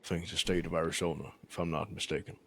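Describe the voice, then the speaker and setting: A man acting as a reflective, observant Western man. His voice is very deep and full of gravel, he talks deliberately, and there is faint noise behind him. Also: little pitch movement. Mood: thoughtful. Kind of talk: improvised roleplay dialogue.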